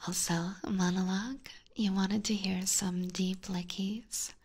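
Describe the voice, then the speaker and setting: A woman with a Californian accent chats in a soft, breathy whisper. Her delivery is unhurried and playfully teasing, in the casual style of an ASMR streamer. She is very close to a binaural microphone. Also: medium pitch, flat delivery. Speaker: ASMR streamer playing a vampire catgirl. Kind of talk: ASMR, casual chat with live viewers. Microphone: close binaural ear microphone.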